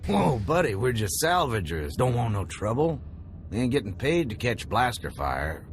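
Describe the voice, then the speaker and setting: An actor playing a good-hearted working-class salvager in a video game, folksy, drawling and earnest. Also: male voice, medium pitch, big pitch swings, moderate pace. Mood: wary. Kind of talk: video game character acting. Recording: music behind the voice.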